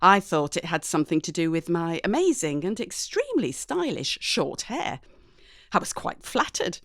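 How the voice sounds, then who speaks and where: A woman's voice: medium pitch, dry recording, studio quality. A woman reading a dramatic first-person story expressively and conversationally, in character as a teenage girl. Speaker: audiobook narrator.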